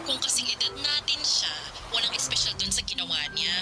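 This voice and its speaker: An actress with a Filipino accent playing a nosy, teasing best friend in a radio drama. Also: female voice, fairly high pitch, a little fast, natural voice. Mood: gossipy, reflective. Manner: gossipy, expressive. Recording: loud music bed.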